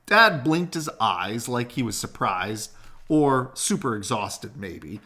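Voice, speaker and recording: Man reading aloud, slow and very animated. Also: medium pitch, breathy edge. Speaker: read-aloud storyteller. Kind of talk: expressive children's picture book reading.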